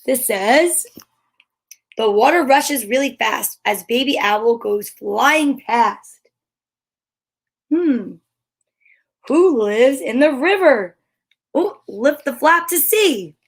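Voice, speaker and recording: Slow-paced, high-voiced woman reading picture books. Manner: expressive, gushing exclamations and gasps; chatty, self-interrupting asides to viewers. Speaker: host of a children's story time. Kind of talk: reading picture books aloud with casual asides.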